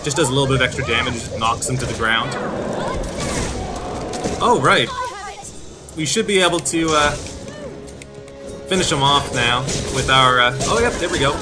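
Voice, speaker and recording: A man commentates on a video game, explaining things as he plays. His phrasing is a little halting, with words repeated now and then, and his pitch sits in an ordinary male middle range. Music plays clearly behind him. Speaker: gameplay host.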